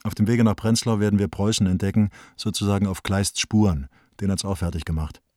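A man's voice: lowish voice, steady tone, a little fast, a little mellow, no room sound, studio quality, lightly acted. An actor in a radio play as an encouraging bohemian man, reading his letter to a friend in a colloquial, urging way. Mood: urging, familiar.

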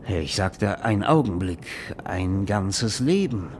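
An actor playing an eager, self-absorbed screenwriter and romantic dreamer in a German radio play, speaking in a florid, rhapsodic, theatrical way. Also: male voice, fairly low pitch, expressive, slow, a little mellow, a little hiss or hum. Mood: rapt, rhapsodic.

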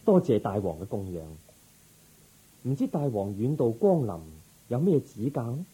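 An actor playing a gentle, composed prince turned humble monk in a Cantonese radio drama. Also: male voice, medium pitch, very animated, moderate pace, husky, low-quality recording. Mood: gentle, courteous. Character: serene young male prince turned ascetic monk.

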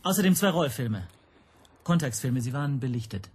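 A man's voice: medium pitch, lively intonation, normal speed, a little mellow, husky. An actor playing a flustered, indignant language teacher, defensive and agitated as he bluffs in a radio drama. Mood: nervous indignation.

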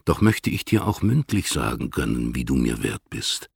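An actor playing a dignified older male poet in a literary radio drama, speaking formally and with composed, measured fondness. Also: rumbling low, sing-song, dry recording, studio quality, heavily acted. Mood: fond. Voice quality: gravelly, husky.

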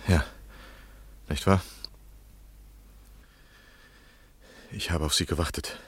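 A man playing an anxious, insistent gentleman journalist in an old German radio play, deliberate and confessional in his literary phrasing. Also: sits low, fairly even intonation, natural voice. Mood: pleading. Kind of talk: 1960s German radio play acting and narration.

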